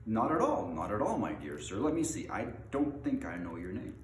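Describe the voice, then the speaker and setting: A fussy middle-aged hobbit gentleman, as a male reader puts him on, polite and easily flustered. His chatty, old-fashioned phrasing swoops up and down. The storybook reading sits in an echoey room with faint noise behind it. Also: lowish voice, sing-song, normal speed. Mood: flustered, dismissive. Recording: roomy echo, faint background noise.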